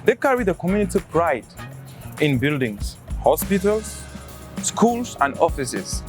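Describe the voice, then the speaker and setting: A man with a fairly high voice and a very lively, swooping pitch, reading scripted narration for a series. He sounds upbeat as he introduces the next segment. Clear music plays underneath his voice. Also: unhurried.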